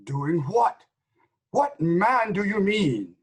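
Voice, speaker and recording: A man playing a reluctant, evasive old shepherd in a Greek tragedy reading, with a husky voice and halting, guarded delivery. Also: high-pitched, very animated, full character voice.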